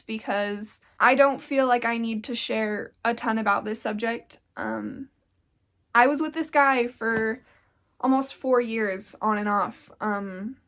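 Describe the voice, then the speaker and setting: A young woman around twenty, a little congested from getting sick, talking earnestly in a casual sit-down vlog and rambling with lots of "like.". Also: a little high. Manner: earnest, rambling, with frequent "like" fillers. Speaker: YouTuber.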